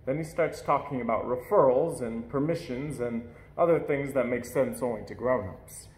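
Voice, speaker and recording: A man reading a children's story aloud in an expressive narrating style. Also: mid-range voice, normal speed. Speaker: storybook reader. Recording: echoey room.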